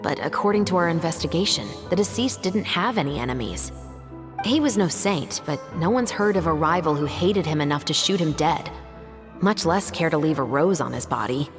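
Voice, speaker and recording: A woman playing a composed, serious investigator and patrol captain in a video game, speaking in a measured, articulate way. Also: deep voice, animated, music in the background. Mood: measured, thoughtful.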